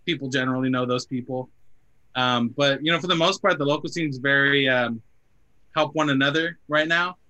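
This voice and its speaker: A man's voice: mid-range voice, normal speed, bright, forceful tone. Chatty young man in his twenties. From Phoenix area, US. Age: 20s. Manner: chatty, lots of "like" fillers, quotes people in his stories. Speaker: band member guest. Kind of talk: casual podcast chat.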